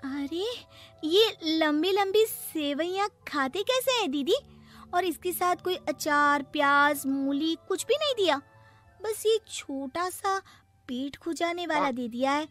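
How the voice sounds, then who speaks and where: Naive village girl for a cartoon moral tale, very high voice, unhurried, whiny and excitable. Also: faint music behind, exaggerated voice. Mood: curious, puzzled. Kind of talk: animated moral story acting.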